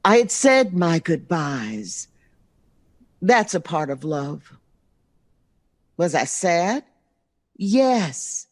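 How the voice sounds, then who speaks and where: A middle-aged American mother in an audio drama, wounded but loving. She speaks slowly and emphatically, with a very lively, emotionally open intonation. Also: fairly low pitch, exaggerated voice. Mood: earnest, firm.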